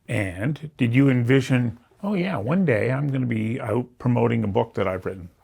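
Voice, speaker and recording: Gravelly interview host, curious. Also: male voice, medium pitch, animated, moderate pace, a little mellow. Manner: curious, prompting questions. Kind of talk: conversational interview.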